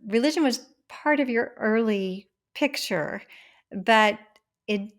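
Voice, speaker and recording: A woman hosting an interview podcast, warmly effusive and asking long, multi-part questions. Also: a little high, takes it slowly. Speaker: podcast host.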